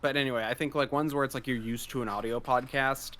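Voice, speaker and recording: A man's voice: mid-range voice, fairly even intonation, quick pace, a bit bright, slight background noise. A man co-hosting a casual gaming talk show, rambling through his points with many fillers. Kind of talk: casual chat.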